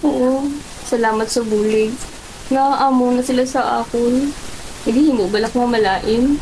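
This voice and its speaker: A woman's voice: a little high, steady tone, unhurried, background noise. A woman acting a betrayed, emotional young woman with a Filipino accent in an amateur student radio drama. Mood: subdued, sad. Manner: emotional, exclaiming. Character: betrayed, anguished young woman named Tricia, tearful and indignant.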